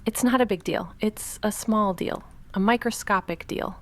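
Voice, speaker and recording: A woman playing an awkward, secretly affectionate young goth vampire roommate in an intimate ASMR roleplay, speaking softly and haltingly and often slipping into a whisper. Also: close to an everyday voice. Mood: sheepish confessing. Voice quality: soft, breathy whispering mixed with low murmured talk. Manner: halting, deadpan, self-conscious rambling.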